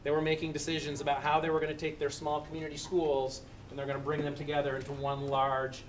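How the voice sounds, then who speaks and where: A man's voice: fairly high pitch, monotone, fast, far from the mic. A middle-aged man gives an earnest, slightly rambling presentation to a community meeting in a school building. He sits some way from the microphone, and a faint hiss runs under his voice. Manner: earnest, thankful, a little rambling with restarts. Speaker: committee member presenting.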